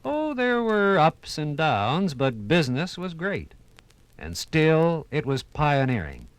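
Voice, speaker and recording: An American man narrating a 1950s radio documentary in a theatrical, oratorical manner with dramatic pauses. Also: fairly high pitch, wildly swooping pitch, clear, resonant tone. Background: music.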